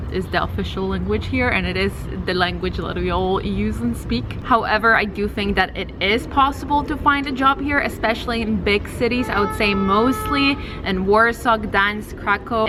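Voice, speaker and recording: A woman, talking casually and explaining things as a vlogger. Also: mid-range voice. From Poland, grew up partly in Copenhagen. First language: Polish. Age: 20s. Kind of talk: casual vlog talk to camera.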